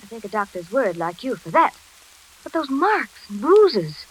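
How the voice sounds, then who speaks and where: A woman's voice: fairly high pitch, big pitch swings, a little slow, husky, heavily acted, rough recording. An actress playing an earnest, anxious but determined young woman in 1940s radio drama style. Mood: hesitant, troubled. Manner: earnest, hesitant then insistent.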